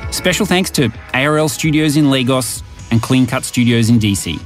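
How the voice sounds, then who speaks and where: A man hosting a podcast, reading scripted narration in a lively, emphatic way. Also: mid-range voice, normal speed. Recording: music bed under narration.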